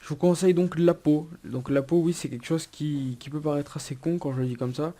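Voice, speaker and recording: A man's voice: fairly high pitch, fairly even intonation, a little fast, dark tone. A young man with a cold, giving a casual gameplay tutorial with many hesitations and restarts. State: has a cold. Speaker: gaming YouTuber.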